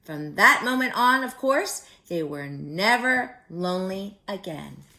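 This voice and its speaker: A woman reading a story aloud to young children like a teacher, expressively, pausing to add simple explanatory comments. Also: medium pitch, lively intonation, pushed and bright.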